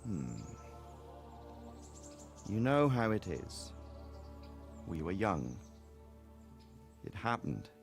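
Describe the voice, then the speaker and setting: An actor playing an easygoing middle-aged nobleman in a video game, a fatherly mentor who talks in an unhurried, wry, reflective way. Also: on the low side, gravelly, full character voice. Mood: rueful. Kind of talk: cinematic video game dialogue.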